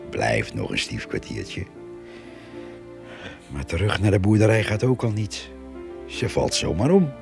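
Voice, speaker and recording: Radio play narrator, extremely deep voice, widely swinging intonation, reflective, colloquial, music behind. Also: male voice, creaky, full character voice. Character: concerned, plain-spoken male narrator telling of an old neighbour. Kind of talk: intimate radio drama monologue.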